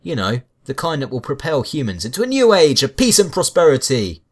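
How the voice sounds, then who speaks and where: A man's voice: fairly high pitch, huge pitch swings, brisk. A man giving a scripted video game review with wry, sarcastic asides. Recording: music behind the voice. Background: background music.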